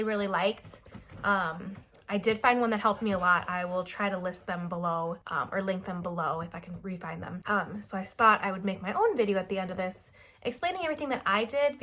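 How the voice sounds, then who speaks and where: A woman's voice: mid-range voice, fairly bright tone. Chatty young woman with a stuffy nose. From Wisconsin, USA. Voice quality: nasal. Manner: chatty, rambling with many fillers like "um" and "like". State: stuffy nose from a cold, slightly nasal. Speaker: vlogger. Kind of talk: casual chat to camera. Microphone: camera mic.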